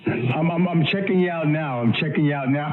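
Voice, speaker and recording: A man's voice: a little high, brisk. An American man heard over a radio transmission, chatting casually and effusively as a ham operator, repeating phrases for emphasis. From United States. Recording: narrow, tinny shortwave radio transmission.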